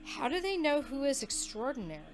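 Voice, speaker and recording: A woman plays a curious young academy recruit in an audio drama. Her voice is fairly high, and music plays clearly behind her. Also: big pitch swings, fairly quick.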